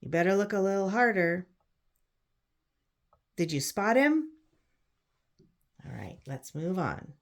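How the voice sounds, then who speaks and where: A woman reads a storybook aloud for children in a fairly low-pitched voice. She breaks from the text for chatty asides, explains things in simple words and asks her listeners what they think. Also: sing-song, slow, pauses often. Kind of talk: picture book read-aloud with chatty asides.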